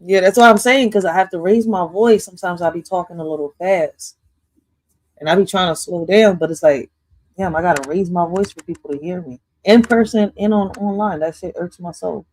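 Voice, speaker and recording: A woman calling in to an online chat, with a soft voice that she raises and speeds up to be heard. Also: poor audio. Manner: soft, low voice, talks fast when raising it. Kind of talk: casual chat. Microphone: remote call mic.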